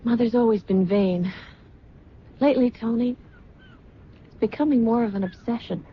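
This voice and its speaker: An actress playing an earnest, anxious twenty-year-old daughter in an old-time radio drama, sincere and restrained. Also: female voice, fairly high pitch, normal speed, lightly acted. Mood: earnest, worried. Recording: muffled, noisy vintage radio recording.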